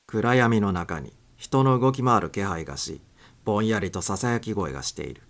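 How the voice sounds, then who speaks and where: A man reading a suspense short story aloud as a dramatic audiobook narrator. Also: low-pitched.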